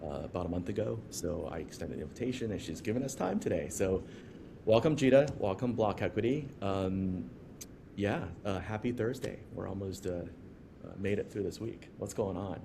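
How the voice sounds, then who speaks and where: A man hosting a casual podcast interview in a halting, informal, conversational way. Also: lowish voice, gravelly, noisy background. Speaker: podcast host, company co-founder.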